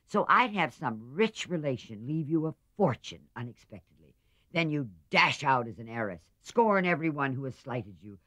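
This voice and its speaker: A woman's voice: low voice, no room sound, muffled recording, voice pushed up. A woman voicing a hot-tempered, passionate teenage girl in a storybook, with emphatic, dramatic delivery.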